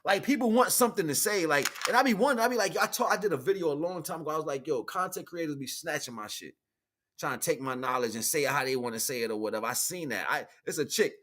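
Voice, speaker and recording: A man's voice: fairly high pitch, lively intonation, fast talker, a bit bright. An American man giving a casual, off-the-cuff spiritual monologue in a slangy, streetwise, emphatic way, often repeating his points. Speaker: streamer giving spiritual teachings.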